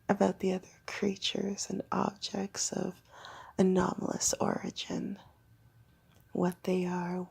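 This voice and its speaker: Sinister, teasing fox girl for ASMR horror roleplay, woman performer: husky, whispered, unhurried delivery. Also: mid-range voice, darkish tone, exaggerated voice. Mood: secretive, sly. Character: creepy, teasing fox girl creature held in containment.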